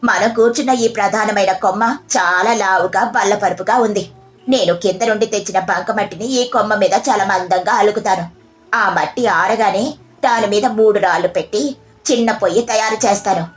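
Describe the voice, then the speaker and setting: A woman voicing a resourceful, calm village woman in a moral-story cartoon, reassuring and explaining as she goes. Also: mid-range voice, normal speed, faint music behind, close to an everyday voice.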